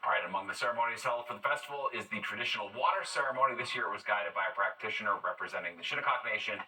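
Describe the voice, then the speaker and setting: A male news anchor reads scripted stories. His voice is fairly low yet very bright and forceful, with a noticeable room echo. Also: very fast, recorded from a distance.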